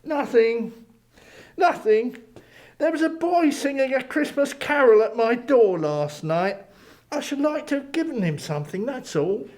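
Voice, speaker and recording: A man's voice: very high-pitched, animated, measured pace, a little mellow. A man playing an old miser grown sentimental and childlike, theatrical and emotional, in a dramatic audiobook. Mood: wistful regret.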